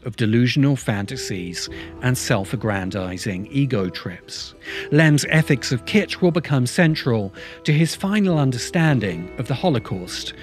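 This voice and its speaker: Male narrator, middle-aged, for video essay: lively intonation, deliberate emphatic phrasing, medium pitch, music underneath. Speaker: host of a science fiction video essay channel. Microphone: large microphone close in front of him.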